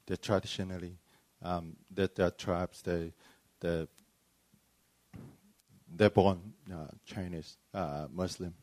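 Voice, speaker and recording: A man from Malaysia, a pastor giving a personal account at a panel discussion in halting, hesitant phrases. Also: low voice, steady tone, a little mellow, no echo. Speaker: church planting pastor.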